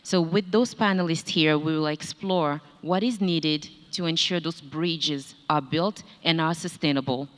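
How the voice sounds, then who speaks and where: A woman's voice: sits low, a little slow, hardly any pauses. A woman from Gabon with a Gabonese French accent, moderating a conference panel in a formal, careful manner. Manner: formal, reads introductions carefully. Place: large conference hall. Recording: echoey hall, long reverb.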